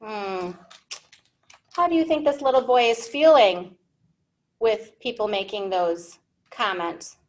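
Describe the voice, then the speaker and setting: A woman's voice: medium pitch, lively intonation, slow, pauses often. A woman in her thirties reading a picture book to young learners as a storytime host, expressively, with simple questions and explanations. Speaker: children's librarian hosting storytime. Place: indoor library room.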